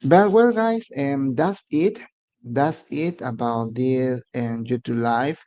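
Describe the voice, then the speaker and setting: Man, Mexican Spanish accent, native Spanish speaker, halting English, live-stream host, dull lo-fi sound. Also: mid-range voice, mellow. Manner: halting, restarting, searching for English words. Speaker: immigration advice channel host. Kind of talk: casual live-stream talk to viewers.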